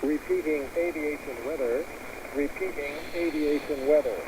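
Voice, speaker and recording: A man reading a scripted aviation weather bulletin over shortwave radio in a clipped, formal monotone. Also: fairly high pitch, normal speed. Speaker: military aviation weather broadcaster. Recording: noisy shortwave radio through a speaker.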